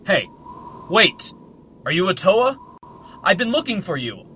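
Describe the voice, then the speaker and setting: Man playing a bright, animated, chatty warrior. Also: high voice, slight background noise, heavily acted. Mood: eager. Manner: chatty, eager, quick-witted banter. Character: chatty, friendly, teasing young stone warrior hero. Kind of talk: amateur audio drama acting.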